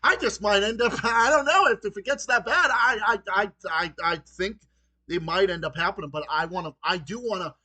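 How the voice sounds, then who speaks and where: High-pitched young man, bright voice. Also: moderate pace. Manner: emphatic, repetitive, stammering. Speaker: political ranting show host. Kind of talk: political phone conversation.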